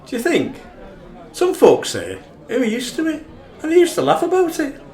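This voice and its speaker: A man's voice: extremely high pitch, wildly swooping pitch, moderate pace, a little mellow, some chatter behind, full character voice. A man with a Northern English accent acting in a radio play as an argumentative, wry, emphatic rugby fan. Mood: insistent. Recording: echoey room.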